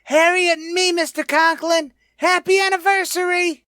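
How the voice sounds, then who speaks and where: Squeaky, very high teenage boy. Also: fairly even intonation, normal speed, bright, forceful tone, no echo, studio quality. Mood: eager. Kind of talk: 1950s radio sitcom acting.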